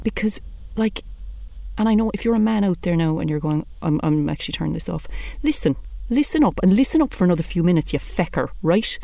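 A woman's voice: lowish voice, expressive, brisk, gravelly, mellow, dry recording. A woman in her thirties with an Irish accent, doing a chatty, blunt stand-up monologue. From Ireland. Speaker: comedian.

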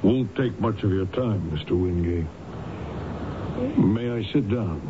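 An American actor playing an earnest, courteous, persistent lawyer and husband in a radio drama, speaking in a polite, measured, probing manner. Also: male voice, fairly low pitch, close to an everyday voice. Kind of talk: old-time radio drama acting. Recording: noisy, narrow-band old radio recording.

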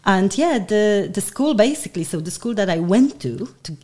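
A woman's voice: medium pitch, lively intonation, normal speed, a little mellow. A woman in her forties from Poland, a native Polish speaker, chatting in an interview and rambling with many fillers and restarts. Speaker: guest. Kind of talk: casual interview chat.

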